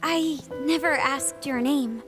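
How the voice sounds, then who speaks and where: A gentle young princess in a game cutscene. Her voice sits high and she takes her time, tender and earnest with every phrase. Music plays clearly behind her. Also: slow. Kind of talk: video game cutscene acting.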